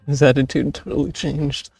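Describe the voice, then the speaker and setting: A woman's voice: low-pitched, darkish tone. A woman streamer reacting casually to a game she is playing.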